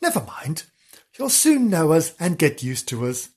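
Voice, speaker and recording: A storyteller voicing a gentle young girl in a children's story who gets bossy and fussy. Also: medium pitch, big pitch swings, unhurried, slightly rough, pitched above the performer's own voice. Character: gentle, fussing child Gillian, bossy when annoyed.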